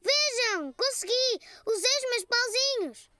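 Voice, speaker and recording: A woman voicing a cheerful, curious four-year-old cartoon boy in a European Portuguese dub, with a very high childlike voice. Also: animated, slow pace, full character voice. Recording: children's music playing behind.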